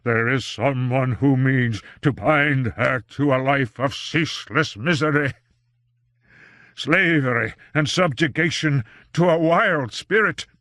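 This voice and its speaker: Man reading as a haunted magician, slow heavy intense lines, dry close mic. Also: medium pitch, sharp tone, no echo, pitched above the performer's own voice. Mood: feverish. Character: tormented cursed male magician, grave and brooding. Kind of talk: audiobook character voice.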